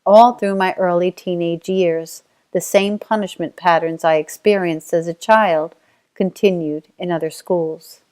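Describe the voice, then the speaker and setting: Lower-voiced Australian woman reading her story. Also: fairly even intonation, unhurried, a little mellow, smooth. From Australia (Tasmania). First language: Auslan (sign language). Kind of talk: reading a personal life story.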